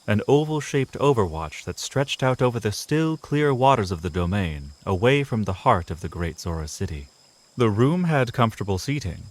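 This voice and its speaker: A man narrating a scripted fantasy audio drama in a dramatic storytelling style. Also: lowish voice.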